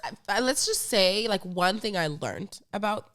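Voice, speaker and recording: A woman's voice: medium pitch, lively intonation, normal speed, a bit bright. A young woman who speaks English natively, chatting casually and candidly as a podcast guest in a bubbly way, peppering her talk with "like". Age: young adult. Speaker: podcast host appearing as interview guest. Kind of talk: casual chat.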